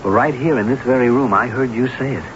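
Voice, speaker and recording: An actor playing an earnest, nervous husband in a radio drama, speaking and narrating in a hesitant, halting way. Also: male voice, mid-range voice, noisy background, close to an everyday voice. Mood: pleading, insistent. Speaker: actor and first-person narrator. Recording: muffled vintage radio broadcast, music behind.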